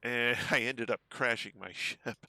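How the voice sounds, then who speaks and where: Relaxed man, bright crisp voice. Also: a little slow, no echo. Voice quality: relatively low voice. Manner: easygoing, chatty, many ums. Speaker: streamer. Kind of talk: casual gameplay livestream chat. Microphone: desk microphone at home.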